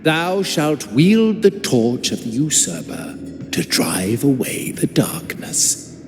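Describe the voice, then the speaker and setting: A man's voice: mid-range voice, huge pitch swings, slow. An actor playing a mocking, cryptic male acolyte villain in a video game cutscene, theatrical and taunting. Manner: theatrical, taunting, swooping delivery. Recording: reverberant game audio with loud music.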